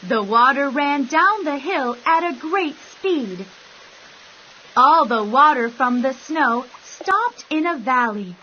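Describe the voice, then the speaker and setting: Slow, high, bright woman storyteller. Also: expressive, a little hiss or hum. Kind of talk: scripted children's bedtime story narration. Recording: dull sound, soft music behind.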